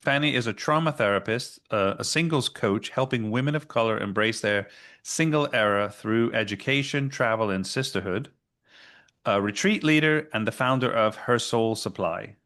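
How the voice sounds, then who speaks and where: A man hosting a podcast, introducing his guest and asking plain, measured questions. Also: mid-range voice, fairly bright tone. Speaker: podcast host.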